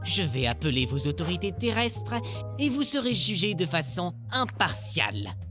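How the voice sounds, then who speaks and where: A man's voice: high-pitched, very animated, normal speed. A man voicing an earnest, nervous and principled demon assassin in a cartoon dub, formal and a little hesitant. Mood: righteous. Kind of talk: animated series dub acting. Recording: loud music behind the voice.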